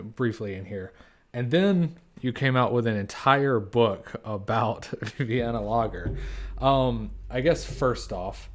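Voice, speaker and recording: Low-voiced male podcast host. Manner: relaxed, casual questioning with fillers. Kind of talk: interview questions.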